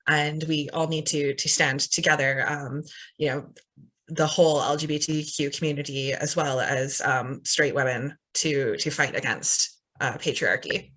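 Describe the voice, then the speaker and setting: Bright-voiced Canadian woman, earnest host. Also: low voice, steady tone, measured pace. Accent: Canadian English. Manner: earnest, many fillers and restarts. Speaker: interviewer, host. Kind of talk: casual interview.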